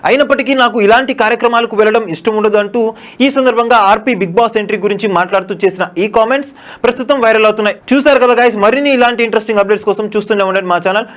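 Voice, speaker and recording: A man reads scripted entertainment news in a voice-over. His voice is very high for a man. He goes at an extremely fast pace from one sentence to the next.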